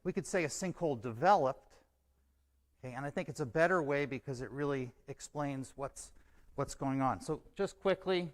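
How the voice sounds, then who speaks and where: A man giving a measured, explanatory talk at a scientific conference. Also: medium pitch. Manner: measured, explanatory, says okay as a filler. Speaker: conference presenter.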